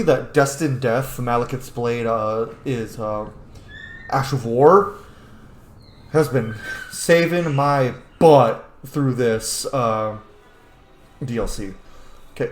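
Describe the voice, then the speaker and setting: A man's voice: medium pitch, roomy sound. A man giving casual, chatty, rambling commentary as a video game streamer. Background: game music.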